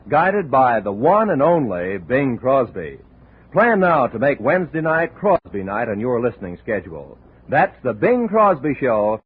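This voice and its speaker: Husky announcer, lively pitch, old radio sound. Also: male voice. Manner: smooth promotional delivery. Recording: tinny old radio broadcast.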